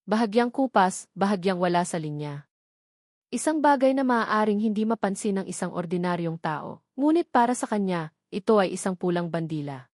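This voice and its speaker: Woman, Filipino accent, story narrator, scripted dramatic narration, mid pitch, dry recording. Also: animated, normal speed, darkish tone, no echo.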